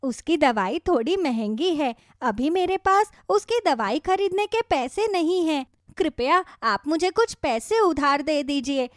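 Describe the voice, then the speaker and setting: A woman plays a humble servant and worried mother in a moral tale. Her high voice pleads respectfully, with expressive rises and falls. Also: a little slow, no room sound, clean studio sound. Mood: pleading. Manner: deferential, pleading. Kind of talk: children's moral story cartoon.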